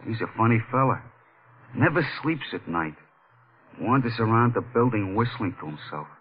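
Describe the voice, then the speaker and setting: An actor playing a jumpy, frightened American tenement janitor in a 1940s radio drama, hesitant and plain-spoken. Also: male voice, fairly low pitch, animated, normal speed, husky. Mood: wary, gossipy. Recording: muffled, tinny 1940s radio recording.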